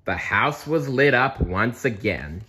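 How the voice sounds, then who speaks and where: Male read-aloud narrator: unhurried, animated pitch, expressive storybook delivery with playful asides. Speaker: storybook reader.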